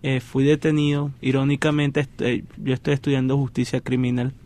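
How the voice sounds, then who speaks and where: A man's voice: medium pitch, level tone, brisk, a little mellow. A man from Venezuela, a student guest, speaking earnestly and steadily with some fillers.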